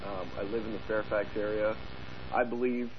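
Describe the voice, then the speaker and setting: Low-voiced guy born in afghanistan calling in, lots of ums, dull line with hiss behind. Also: level tone. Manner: halting, with many fillers. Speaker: caller. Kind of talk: phone-in call.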